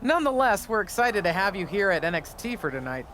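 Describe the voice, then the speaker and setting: An affable backstage producer in a wrestling game. He chats and gently probes in a highish, animated voice, with faint ambient noise. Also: fast talker, heavily acted. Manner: conversational, politely probing. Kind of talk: video game cutscene dialogue.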